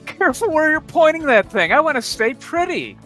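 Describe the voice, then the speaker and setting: A man's voice: extremely high pitch, very animated, brisk, sharp tone, faint music behind. A man in a table read, chatting casually and reading lines with dry humor. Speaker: cast member in a table read. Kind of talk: casual chat and amateur script reading.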